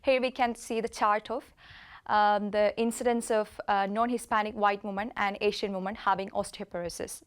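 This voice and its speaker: A woman's voice: on the high side, smooth. A woman in her twenties from India, a medical student reading a lecture presentation steadily, with an occasional slip. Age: 20s. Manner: reads steadily from slides, occasional slips.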